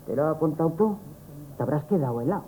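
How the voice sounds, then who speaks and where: An urgent, scheming older neighbor. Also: female voice, low voice, animated, quick pace, dark tone, low-quality recording. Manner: urgent, conspiratorial. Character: shrewd scheming older woman neighbor, seña Patro. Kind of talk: 1970s radio play acting. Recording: old radio broadcast with faint hiss.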